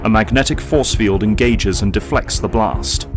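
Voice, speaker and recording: A man narrates an audio drama in a low register. He reads the action dramatically, with loud music playing under him. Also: normal speed.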